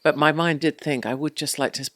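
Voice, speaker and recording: A woman with a low voice, a podcast guest chatting in a bawdy, animated way and laughing often. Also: fast talker. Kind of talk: casual chat.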